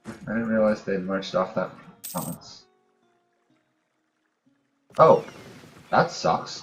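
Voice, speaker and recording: Male gamer, low-pitched, casual let's play narration, thinking out loud, light music underneath. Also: low-quality recording. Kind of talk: casual strategy game commentary, thinking aloud.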